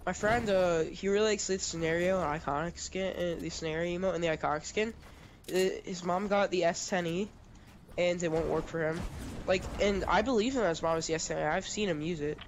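A young man, a streamer recovering from a cold, chatting casually and haltingly while he plays, with lots of fillers and restarts. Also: high-pitched, a little hiss or hum. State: sniffly, getting over a cold. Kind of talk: casual gaming chat.

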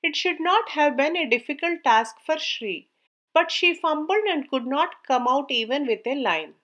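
High-pitched woman reading a story aloud. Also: animated, normal speed, fairly bright tone. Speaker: story narrator. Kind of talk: scripted storybook narration.

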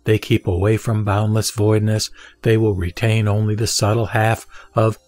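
A man giving a measured, solemn reading of a Buddhist scripture. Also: fairly low pitch, flat delivery, dry recording. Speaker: sutra reciter. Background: soft background music.

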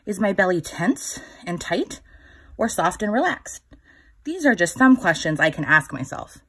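Slightly husky woman reading a children's picture book. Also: medium pitch. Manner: gentle, instructive, pausing to put questions to the child listener. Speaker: children's story reader. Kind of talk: read-aloud picture book storytelling for young children.

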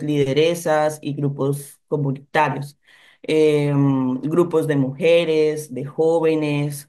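A woman speaking as a panelist on a video call, giving formal remarks in a measured way with many hesitant fillers, picked up by a laptop mic. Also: low voice, darkish tone. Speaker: NGO panelist.

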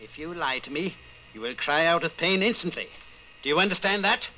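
An actor playing a coldly patient, menacing party inquisitor in old-time radio style, measured, condescending and commanding. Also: male voice, on the high side, lively intonation, pushed and bright, slight background noise, poor audio. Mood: controlled menace.

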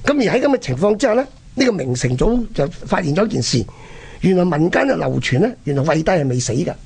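A man with a Hong Kong Cantonese accent and a husky, gravelly voice, telling history conversationally and dramatically as a radio presenter. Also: high voice, very animated, quick pace, darkish tone. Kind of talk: animated conversational history storytelling.

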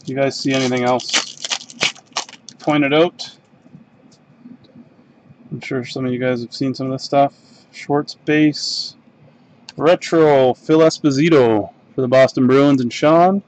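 Casual Canadian male host, medium pitch, slow unhurried delivery, reads cards while opening packs. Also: steady tone, a little mellow, poor audio. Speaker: box break host. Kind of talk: casual live-stream card break commentary. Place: desk in a home card room.

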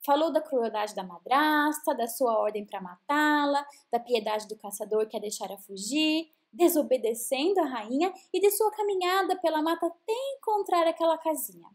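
High-pitched Brazilian woman, animated storyteller. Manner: expressive, drawn-out emphasis. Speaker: children's storyteller. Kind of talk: scripted fairy-tale narration for children.

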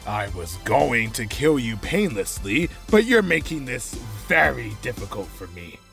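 A man's voice: mid-range voice, very animated, unhurried, creaky, a bit bright, faint music behind, heavily acted. A man playing a ruthless, coldly calculating corporate agent in an audio drama, controlled and menacing. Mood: threatening. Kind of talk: dramatic audio roleplay acting.

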